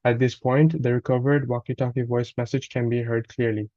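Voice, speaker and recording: A man with a soft, mellow voice presents a scripted technical walkthrough like a student giving a report. His delivery is a little halting, with small stumbles and corrections. The recording is very dry and slightly dull. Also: mid-range voice, level tone, no room sound. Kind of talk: scripted technical narration, read aloud.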